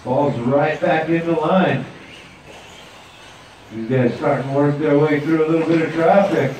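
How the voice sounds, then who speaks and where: A man's voice: a little high, measured pace, very echoey, recorded from a distance, a little hiss or hum, poor audio. A man giving live play-by-play commentary at an outdoor dirt track. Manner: steady play-by-play calling. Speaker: race announcer.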